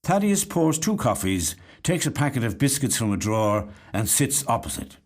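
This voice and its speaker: A man reading a literary short story as scripted narration, with measured, dramatic, deliberate phrasing. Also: mid-range voice, very animated. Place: recording studio.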